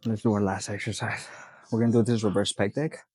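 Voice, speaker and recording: A man's voice: lowish voice, little pitch movement, fairly quick, darkish tone, husky, dry recording. A young man vlogging between sets at the gym, stuffy-nosed and sniffling, talking in a laid-back, rambling way with lots of fillers. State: congested, sniffling. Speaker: fitness vlogger. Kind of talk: casual gym vlog talk.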